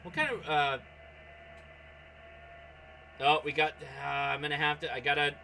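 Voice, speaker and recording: A man with a bright, forceful and slightly high voice hosts a casual hobby livestream. He is excitable, commenting aloud as he goes and talking straight to his viewers. There is faint noise behind him. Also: measured pace, vocal fry. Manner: excitable, reacts aloud, talks to viewers and contacts by name. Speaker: host of a ham radio livestream. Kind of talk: casual livestream chat and running commentary while operating radio.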